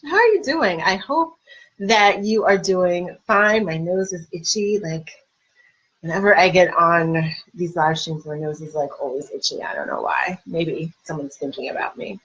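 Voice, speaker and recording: A woman's voice: lowish voice, lively intonation, measured pace, fairly bright tone. Stuffy-nosed woman chatting on a live stream. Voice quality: husky. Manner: chatty, addresses viewers directly, laughs at herself. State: congested from a cold. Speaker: life coach hosting a live stream. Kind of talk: casual live stream talk. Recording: slightly dull lo-fi live stream audio.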